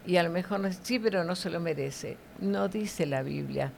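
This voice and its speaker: A woman's voice: on the low side, moderate pace, vocal fry, darkish tone, slight background noise. A middle-aged woman giving devotional teaching in conversation, didactic and often checking for agreement with short tag questions.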